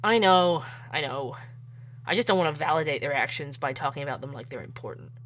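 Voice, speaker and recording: A woman's voice: deep voice, a little fast, fairly bright tone, slight background noise. A woman voicing a dogged, opinionated male podcast investigator who asks blunt, earnest questions. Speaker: podcast host. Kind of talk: audio drama acting.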